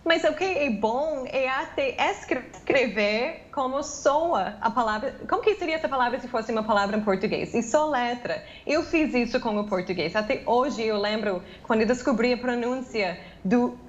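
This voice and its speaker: An American woman with a fairly high voice is interviewed over a video call from her home office. Her sound is dull and lo-fi. She speaks chattily, filling pauses with "ah" and restarting words. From United States. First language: English. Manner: chatty, many "ah" fillers and restarts. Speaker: interviewee, English teacher. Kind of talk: remote news interview.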